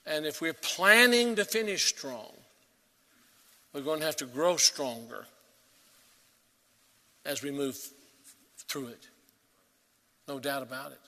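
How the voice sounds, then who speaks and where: Pastor guy preaching, bright kinda high voice, reverby church hall. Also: takes it slowly, pauses often. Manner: earnest, rising to emphatic peaks then dropping to soft. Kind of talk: sermon.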